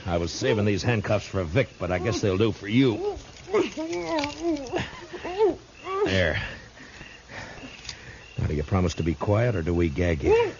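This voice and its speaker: Deep-voiced stern frontier marshal. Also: male voice, wildly swooping pitch, normal speed, a crowd in the background. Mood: commanding. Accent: American. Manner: terse, authoritative. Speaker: lead actor and narrator. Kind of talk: 1950s western radio drama acting and narration. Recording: muffled 1950s radio broadcast, music underneath.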